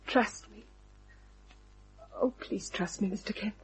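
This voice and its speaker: Breathy, frightened damsel client. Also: female voice, medium pitch, rough recording. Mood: frightened, pleading. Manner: hesitant, halting, pleading. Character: frightened, pleading young woman, distraught mystery client. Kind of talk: old-time radio drama acting.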